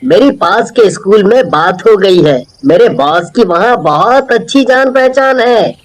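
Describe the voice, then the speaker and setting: An actor playing a calm father in a cartoon story, speaking in a reassuring way. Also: sits high, rough recording. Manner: reassuring, unhurried. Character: calm father, office worker.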